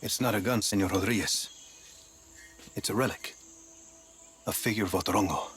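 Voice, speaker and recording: A man's voice: lowish voice, moderate pace, a bit bright, slightly rough. An actor playing a wry, scholarly male archaeologist in a game cutscene. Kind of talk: video game cutscene voice acting.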